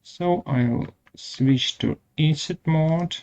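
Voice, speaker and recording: A man presenting a step-by-step software tutorial in short, halting instructional phrases, with a gravelly voice. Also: fairly high pitch, dull audio.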